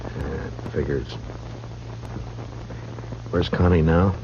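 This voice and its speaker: An actor playing a calm, dry frontier marshal with laconic, understated questions in an old radio western. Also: male voice, very deep voice, drawn-out words, exaggerated voice. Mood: businesslike. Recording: muffled, noisy old radio recording with hum.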